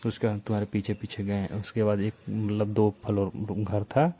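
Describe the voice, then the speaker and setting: A man with an eastern Uttar Pradesh accent speaks in a fairly low, soft-edged voice. He chats casually but keeps accusing and complaining. It is a phone call recording with faint noise behind it. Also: flat delivery, normal speed.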